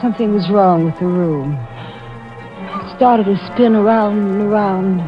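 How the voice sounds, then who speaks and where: A woman's voice: medium pitch, takes it slowly, lightly acted. A woman acting an anxious, defensive wife in an old-time radio suspense drama. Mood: fearful, brooding. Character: anxious, defensive wife who narrates her suspicions. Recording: dull vintage radio recording with music.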